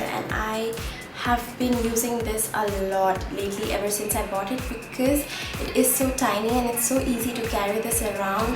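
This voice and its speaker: A young woman, chatting through a makeup tutorial with plenty of ums and uhs, sounding stuffy from a cold. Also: on the high side. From India. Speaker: beauty vlogger. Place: home room at a mirror. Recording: echoey room, camera at a distance, loud music behind.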